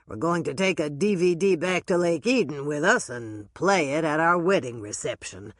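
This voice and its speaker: A woman voicing a calm, devoted groom who speaks steadily. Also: medium pitch, normal speed, no room sound, clean studio sound. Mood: earnest.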